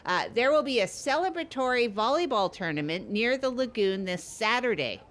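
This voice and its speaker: A woman's voice: on the high side, sing-song, normal speed, a bit bright. Woman playing a chatty, scatterbrained small-town podcast host. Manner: rambling, repeats herself, matter-of-fact. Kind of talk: improvised comedy podcast banter.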